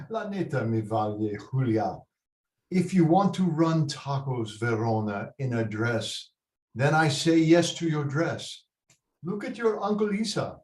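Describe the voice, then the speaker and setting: A man playing a stern, slow-spoken loving father. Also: medium pitch, expressive, darkish tone, lightly acted. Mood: gently teasing. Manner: deliberate, paternal, slips Spanish words into English. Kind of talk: dramatic table read. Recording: roomy echoing video call.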